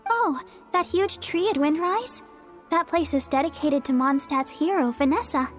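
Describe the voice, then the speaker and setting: A woman's voice: sits high, moderate pace, lightly acted. An actress playing a cheerful, caring young female church deaconess with a sweet manner. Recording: game audio with faint music.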